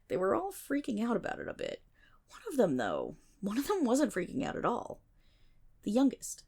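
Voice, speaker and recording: A woman's voice: fairly high pitch, vocal fry, darkish tone, full character voice. A woman playing a nerdy, playful best friend in an ASMR roleplay, telling stories in a chatty, dramatic, self-interrupting way with mock exasperation. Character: nerdy, playful female best friend rambling excitedly about mythology. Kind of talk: ASMR roleplay, casual storytelling monologue.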